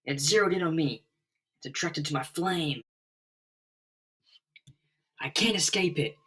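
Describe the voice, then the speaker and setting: A man's voice: on the high side, unhurried, a bit bright, pitched above the performer's own voice. A man voicing an anguished, frightened young flaming hero in a comic book drama. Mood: desperate.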